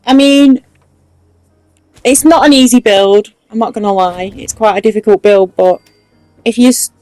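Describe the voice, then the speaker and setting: A woman's voice: medium pitch, normal speed, darkish tone, dry recording, soft background music. Chatty Mancunian woman, dry close sound. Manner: chatty, explaining step by step. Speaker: game build tutorial host. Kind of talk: casual commentary over gameplay.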